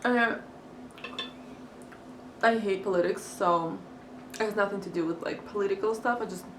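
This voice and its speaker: A woman's voice: medium pitch, normal speed. A young woman from Crimea, a native Russian speaker, chatting casually while eating, with lots of fillers and giggles. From Crimea, Ukraine. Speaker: vlogger. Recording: echoey room, far mic, hiss.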